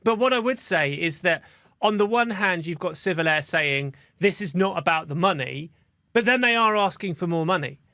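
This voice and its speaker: A man's voice: high-pitched, lively intonation, moderate pace, bright, forceful tone, no echo, studio quality. A man hosting a news podcast in a conversational, discursive style. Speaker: podcast host.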